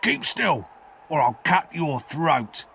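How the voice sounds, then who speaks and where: A man voicing a rough, menacing escaped convict in a storybook reading, growling and commanding. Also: mid-range voice, animated. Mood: fierce. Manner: forceful, commanding, slow threats.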